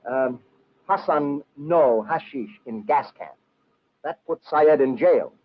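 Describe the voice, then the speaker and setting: An actor playing a brash, wisecracking American adventurer, slangy and clipped, in an old-time radio drama. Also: male voice, a little high, slow. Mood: insistent. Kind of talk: old-time radio adventure acting with first-person narration. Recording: dull, hissy old radio recording.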